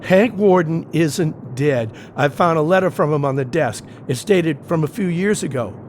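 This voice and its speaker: A man's voice: a little high, animated, moderate pace, close to an everyday voice. An actor playing an uneasy, determined man investigating a house in an audio play, talking urgently and colloquially over faint noise.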